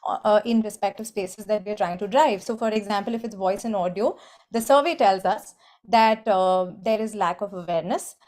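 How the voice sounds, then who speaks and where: A woman, speaking in a measured, formal corporate way as an industry body representative on a webinar panel. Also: medium pitch, darkish tone. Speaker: trade body executive and webinar organizer.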